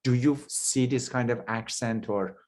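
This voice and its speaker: A man whose first language is Persian, hosting an interview in a halting, anecdotal way. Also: mid-range voice, fairly even intonation, darkish tone. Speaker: interview host. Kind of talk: casual interview chat.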